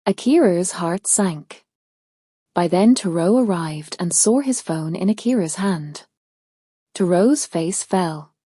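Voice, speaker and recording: A woman's voice: on the low side, expressive, takes it slowly, mellow, husky, no room sound, studio quality. A woman narrating a scripted storybook tale as a storyteller.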